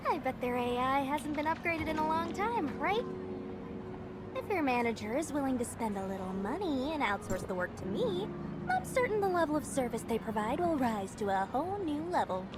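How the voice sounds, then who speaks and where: Bubbly, high-voiced anime mechanic girl. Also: big pitch swings, moderate pace, very noisy. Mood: confident, playful. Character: eager, confident young female mechanic in an anime game. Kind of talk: video game character acting. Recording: music behind the voice.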